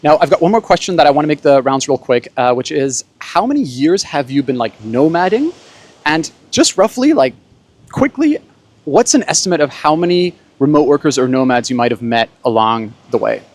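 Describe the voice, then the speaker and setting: A man's voice: on the high side, sing-song, moderate pace. A man from Germany and Bolivia hosting a panel, talking in a casual, explanatory way. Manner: conversational, explanatory. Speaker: host, panel moderator.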